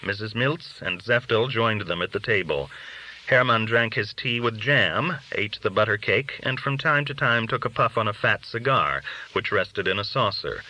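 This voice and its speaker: A man reading a literary story aloud as an audiobook narrator, with expressive, animated delivery. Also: lowish voice, moderate pace, low-quality recording. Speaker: audiobook reader.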